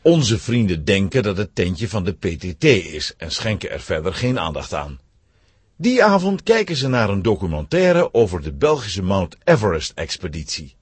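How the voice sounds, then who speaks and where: Man, children's story narrator, very lively intonation, husky edge, dry close sound. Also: deep voice, moderate pace, no room sound.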